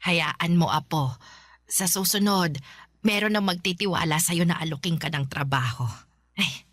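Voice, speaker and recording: A woman playing a doting, easily delighted elderly grandmother in a radio drama, exclamatory and halting, with a Filipino accent. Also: fairly low pitch, a bit bright, dry recording, clean studio sound. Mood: tender, caring. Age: older. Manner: exclamatory, halting, breaking into cackles.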